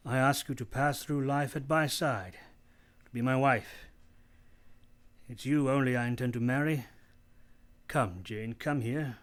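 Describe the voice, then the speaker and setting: A man playing a brooding, teasing gentleman master in love, with formal, theatrical delivery in a radio play. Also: mid-range voice, a little slow, pauses often, natural voice. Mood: fervent.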